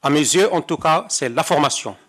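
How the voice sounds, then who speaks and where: A man's voice: on the high side, expressive, moderate pace, a bit bright. An older man from Morocco, a conference interpreter, reading a prepared talk at a seminar with wry, rhetorical asides. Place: seminar hall.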